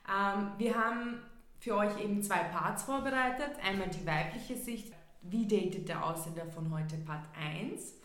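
Woman hosting a chatty podcast, mid pitch, roomy echo. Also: fairly bright tone. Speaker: podcast co-host. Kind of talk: casual podcast chat. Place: podcast studio.

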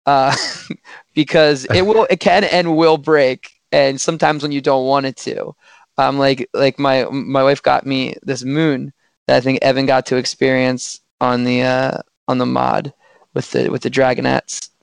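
A man with a slightly hoarse voice, chatting casually on a podcast with many restarts and fillers. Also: medium pitch, level tone, a little slow, no echo.

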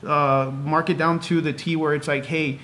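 A man's voice: on the high side, little pitch movement, moderate pace, fairly bright tone, a little hiss or hum. A man in his thirties chatting casually as a podcast guest, talkative and full of small anecdotes. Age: 30s. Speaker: podcast guest, clothing brand co-owner. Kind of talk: casual chat.

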